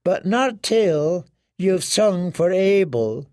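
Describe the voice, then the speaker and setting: A man voicing a menacing washerwoman who taunts in a slow, sweetly cruel way. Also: high-pitched, lively intonation, a little mellow, dry recording, studio quality, voice pushed up. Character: mocking, menacing older washerwoman.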